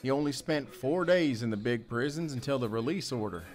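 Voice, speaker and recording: A man acts a soft-hearted ex-convict handyman in an audio drama. He speaks at a medium pitch in a measured, folksy way, weary and guarded, over faint music. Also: expressive, lightly acted. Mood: guarded, reflective. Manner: measured, folksy, with drawn-out pauses.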